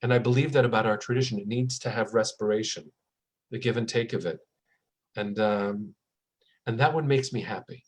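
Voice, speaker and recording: Rabbi guy chatting, a bit breathy, tells stories and does the voices. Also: fairly low pitch, moderate pace. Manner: warm storytelling, acts out quotes. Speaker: rabbi and author. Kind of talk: conversational interview.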